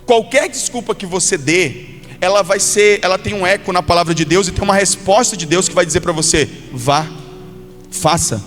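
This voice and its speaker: A man's voice: high-pitched, moderate pace, a bit bright. A Brazilian man preaching a sermon as a pastor, addressing listeners directly and exhorting them with repetition and acted-out quotes. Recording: long hall reverb, music behind. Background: music.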